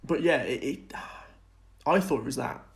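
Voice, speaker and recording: A man's voice: fairly high pitch, lively intonation, normal speed. A man chatting casually and enthusiastically as a podcast co-host about film and TV.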